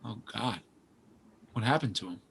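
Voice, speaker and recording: A man's voice: lowish voice, expressive, normal speed, vocal fry, fairly bright tone, breathy edge, exaggerated voice. A man acting as an earnest, self-deprecating aspiring novelist in a stage play, hesitant and apologetic. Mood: surprised, wary. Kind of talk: naturalistic stage play dialogue.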